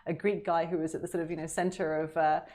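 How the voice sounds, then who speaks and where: A woman's voice: sits low, flat delivery, fast, darkish tone. A middle-aged woman, a diplomat, chatting as an interview guest in a friendly, easygoing way with small laughs and restarts. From United Kingdom. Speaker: guest, deputy ambassador. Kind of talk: casual interview conversation.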